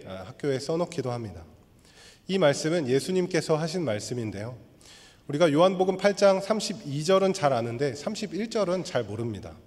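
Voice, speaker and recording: A hoarse man preaches from the pulpit, walking his listeners through a passage in a steady, explanatory way. His voice sits a little high for a man. The sound rings out with the long reverb of a church sanctuary. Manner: measured, explanatory, leads congregation in reading. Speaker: pastor. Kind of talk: sermon.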